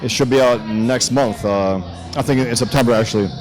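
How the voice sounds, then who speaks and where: A man from the Bronx with a New York accent, answering interview questions haltingly with many pauses and fillers. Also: mid-range voice, over music, a crowd in the background. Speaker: guest cartoonist.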